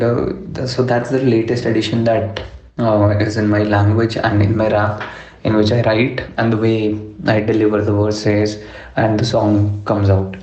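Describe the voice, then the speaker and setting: A young man from Delhi, a rapper, answers interview questions in a low, steady voice with a flat melody. He pauses a lot, filling the gaps with "uh" and "you know". The sound is dull and lo-fi, with a roomy echo. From Delhi, India. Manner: measured, many fillers like "you know" and "uh". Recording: echoey room, dull lo-fi sound.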